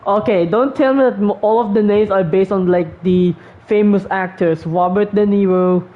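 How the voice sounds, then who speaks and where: A woman's voice: medium pitch, moderate pace. A woman giving casual, offhand, sweary commentary as she plays a video game. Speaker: game streamer. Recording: dull lo-fi mic, faint noise.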